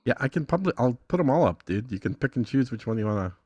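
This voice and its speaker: A man's voice: mid-range voice, big pitch swings, fast, darkish tone, slightly rough, no room sound, lo-fi sound. A man giving a casual tutorial as a podcast guest, informal and thinking aloud as he goes. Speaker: podcast guest demonstrating an app.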